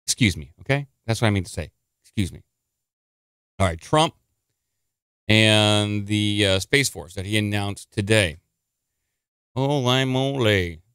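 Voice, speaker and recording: A man's voice: lowish voice, lively intonation, a bit bright, smooth, no room sound, studio quality. A man hosting a live stream in a chatty, rambling way, a little congested and nasal. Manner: chatty, rambling, quick to gripe. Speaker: podcast host. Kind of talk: casual live-stream talk.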